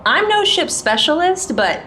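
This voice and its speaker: A woman's voice: high voice, very animated, unhurried, pushed and bright, slight background noise, heavily acted. A woman playing a sardonic, casual cyber-security specialist recording a personal log in a sci-fi audio drama. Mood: sober, uneasy. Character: wry, sharp female cyber-security specialist keeping a log. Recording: music bed under the voice.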